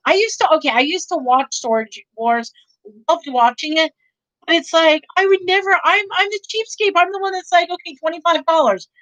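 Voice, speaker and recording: A woman's voice: high voice, a bit bright, dry recording, muffled recording. A middle-aged woman with a New York accent, chatting with viewers on a livestream, rambling and correcting herself. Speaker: reseller livestream host. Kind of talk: casual live chat with viewers.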